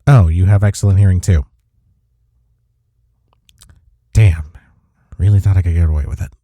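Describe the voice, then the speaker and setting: A man playing a smitten office rival in an intimate romantic audio roleplay, with a husky, mellow voice and a halting, teasing manner. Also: low voice, steady tone, dry recording, clean studio sound. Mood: flustered. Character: flustered, smitten male office rival confessing attraction.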